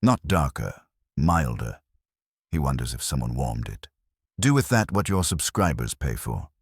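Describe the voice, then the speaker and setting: A man voicing a wry, quick-witted detective's assistant in a scripted story, deadpan. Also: rumbling low, husky, no room sound, studio quality, full character voice. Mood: pointed.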